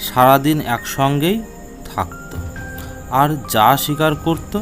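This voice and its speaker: Measured male storyteller over light music. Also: a little high, fairly bright tone. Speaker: storyteller, narrator. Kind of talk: scripted children's fable narration.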